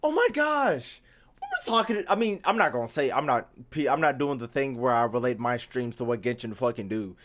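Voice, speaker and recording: Young dude streamer, average pitch, casual slangy rambling, curses loads. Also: male voice, big pitch swings, fairly quick, fairly bright tone, slight background noise. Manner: slangy, sweary, rambling, repeats himself. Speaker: game streamer. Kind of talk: casual livestream gaming commentary.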